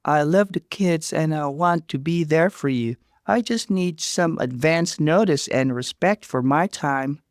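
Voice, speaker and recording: A man voicing a warm, reasonable young aunt in a story, sincere and polite but standing her ground. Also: on the high side, measured pace, few pauses, clean tone, dry recording, clean studio sound, voice pushed up, close to an everyday voice. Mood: sincere, tender.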